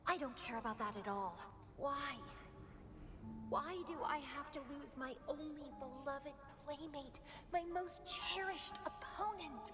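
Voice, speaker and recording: An unhinged, lovelorn young woman fighter in a video game. Her voice sits high and moves slowly through a theatrical, brooding monologue. Clear dramatic music plays behind her. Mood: anguished.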